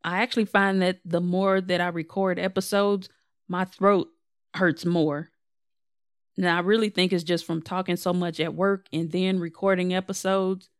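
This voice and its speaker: Slightly hoarse woman hosting a chatty podcast. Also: lowish voice, level tone, moderate pace, dry recording, clean studio sound. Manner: chatty, candid, self-deprecating. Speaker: podcast host. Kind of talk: casual solo podcast update.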